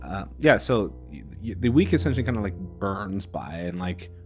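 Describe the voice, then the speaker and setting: A man running a tabletop game, narrating casually and haltingly in a conversational way. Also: low voice, big pitch swings. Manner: halting, conversational, peppered with "like" and "kinda". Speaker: game master. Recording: instrumental music bed behind the voice.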